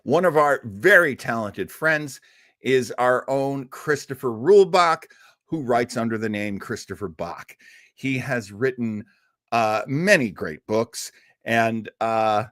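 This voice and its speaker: A man's voice: mid-range voice, animated, slow pace, fairly bright tone, no echo, clean studio sound. A man hosting a podcast, wrapping up the episode in casual, rambling chat with self-deprecating asides. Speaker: podcast host.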